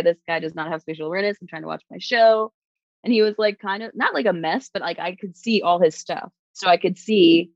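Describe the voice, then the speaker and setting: A woman's voice: lowish voice, dry recording, lo-fi sound. A woman from New York who works as a stage performer, casually telling her love story and animatedly acting out her own past thoughts.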